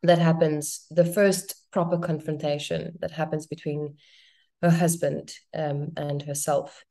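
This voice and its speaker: A woman's voice: low-pitched, dark tone. A woman from an Afrikaans-speaking background with a South African accent, a guest actress in a casual podcast interview who talks thoughtfully and often circles back to rephrase.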